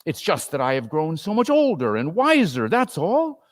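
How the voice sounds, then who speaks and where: A man's voice: a little high, wildly swooping pitch, normal speed. A man voicing an anguished, agitated old miser in a dramatic storybook reading, imploring as he speaks. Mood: defensive.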